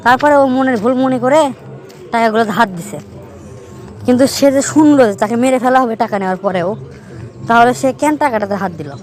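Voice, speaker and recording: Man playing a fast-talking teasing cartoon riddler. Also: very high voice, faint music behind. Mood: teasing, explaining. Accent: northern Bangladeshi. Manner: teasing, explanatory, keeps checking the listener follows. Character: teasing young man telling riddles to his friend. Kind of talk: amateur cartoon dialogue, storytelling.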